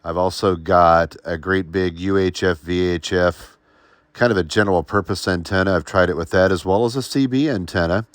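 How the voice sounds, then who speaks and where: Very deep-voiced American man in his fifties. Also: moderate pace, dry recording, clean studio sound. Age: 50s. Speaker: hobbyist video host, radio restorer. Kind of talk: explanatory how-to narration.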